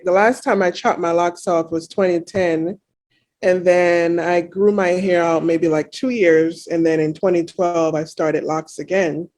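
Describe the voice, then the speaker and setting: Reflective woman guest, spiritual, unhurried. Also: lowish voice, darkish tone. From Jamaica. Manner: reflective, many "you know" fillers. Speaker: interview guest. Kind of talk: casual interview chat.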